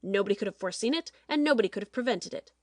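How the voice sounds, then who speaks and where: A woman playing a domineering, cold-blooded TV producer in a dramatic audiobook, speaking in a forceful, pointed way. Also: medium pitch, animated, racing, dry recording, clean studio sound, lightly acted. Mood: grave, scheming. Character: hard, calculating TV show producer, domineering.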